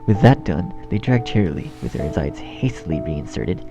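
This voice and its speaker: A man's voice: low-pitched, sing-song, normal speed, gravelly. A man reading a horror story as narrator in a scripted style. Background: background music.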